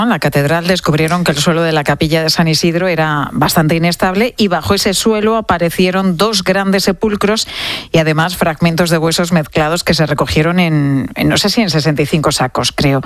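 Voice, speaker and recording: A male co-host with a Castilian Spanish accent and a high-pitched voice. He interviews conversationally, with a dry sound free of room echo. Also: brisk, fairly bright tone.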